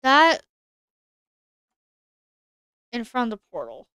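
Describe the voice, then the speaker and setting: A woman's voice: a little high, wildly swooping pitch, slow pace, sharp tone, clean tone, no echo, clean studio sound. A woman streamer chatting casually while she plays a game, thinking aloud and answering her chat. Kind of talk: casual live-stream gameplay commentary.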